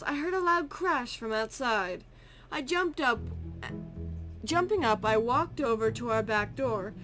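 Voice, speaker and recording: A woman narrating a story aloud in an amateur, halting way, correcting misread words as she goes. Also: a little high, a bit bright.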